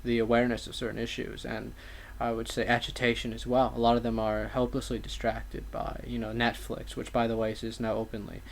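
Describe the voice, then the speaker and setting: A man's voice: mid-range voice, monotone, brisk, gravelly, a little hiss or hum. A man giving casual political commentary as a talk show host, with wordy, somewhat formal turns of phrase.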